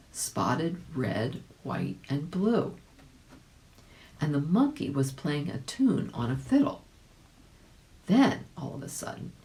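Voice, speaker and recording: A woman reading aloud as a volunteer, narrating a children's storybook expressively. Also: on the low side.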